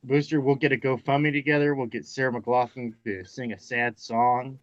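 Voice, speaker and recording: A man's voice: mid-range voice, steady tone, moderate pace, no echo. A man joking in a livestream group chat, laughing often at his own lines. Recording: dry, muffled lo-fi mic.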